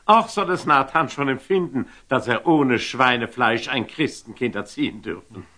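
An actor playing a shrewd, indulgent older sultan in a radio play, speaking classical verse with measured authority. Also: male voice, medium pitch, bright, forceful tone. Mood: calming, commanding.

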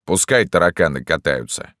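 A man's voice: deep voice, lively intonation, moderate pace, fairly bright tone, dry recording, clean studio sound. A man voicing a cocky, flippant fellow with boyish eagerness in an audiobook. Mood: breezy.

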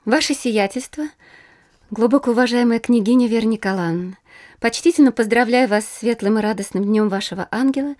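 Actress as an anxious young princess, thinking aloud. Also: female voice, fairly high pitch, a little mellow, close to an everyday voice. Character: anxious young married princess reading a love letter. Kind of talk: audio drama acting.

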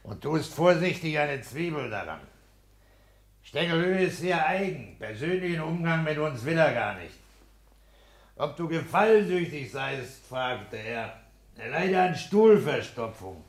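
An actor playing a smug, domineering petty official and landlord in a 1960s German radio play, matter-of-fact and pleased with himself. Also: male voice, fairly high pitch, animated, measured pace. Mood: self-satisfied. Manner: matter-of-fact, self-satisfied.

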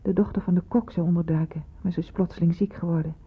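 Thin, muffled old radio sound frames a middle-aged woman as a senior nurse. Her voice is lowish and very husky. She is stern but motherly, with persuasive authority, in a radio drama. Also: fairly even intonation, fast. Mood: firm, admonishing. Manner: authoritative, admonishing. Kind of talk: Dutch radio play acting.

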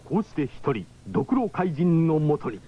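Dramatic, animated male radio narrator. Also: on the high side, normal speed. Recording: dull, faintly noisy old radio recording.

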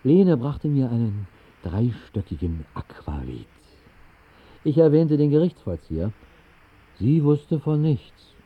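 An actor playing a whimsical night-owl who narrates an absurd dream in a radio play, with ornate, mock-solemn phrasing. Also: male voice, mid-range voice, sing-song, slow pace, breathy edge, heavily acted. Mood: wry, dramatic. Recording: muffled, thin 1960s radio recording.

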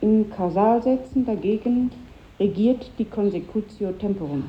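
An actress playing a married Latin teacher, wistful yet strict and didactic, in a 1950s German radio drama. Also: female voice, mid-range voice, normal speed, slight background noise, lightly acted. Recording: echoey, phone-like vintage radio recording.

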